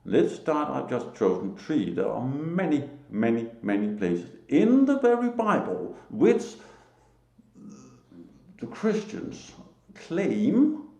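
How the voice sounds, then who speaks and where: Slow, gravelly Danish-accented man preaching. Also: medium pitch, sing-song, darkish tone, echoey room. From Denmark. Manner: slow, halting, emphatic, with short laughs. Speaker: lay preacher. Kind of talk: solo religious talk to camera.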